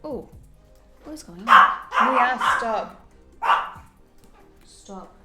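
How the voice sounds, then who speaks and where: A woman's voice: on the high side, lively intonation, drawn-out words, over loud music. A woman streaming casually while doing her makeup, reading viewer comments aloud and reacting to them in a chatty, friendly way.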